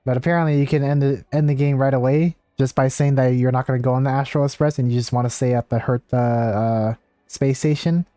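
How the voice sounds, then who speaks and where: Mellow-voiced male game livestreamer, dry close sound. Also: mid-range voice, steady tone, a little fast, no echo. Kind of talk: casual livestream chat with viewers. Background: faint game music.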